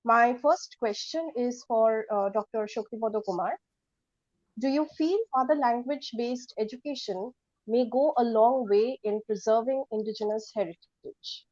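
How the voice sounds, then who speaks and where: A woman's voice: on the high side, moderate pace, darkish tone, dry recording. Formal woman moderator, dull lo-fi sound. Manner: formal, courteous. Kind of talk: moderating a panel.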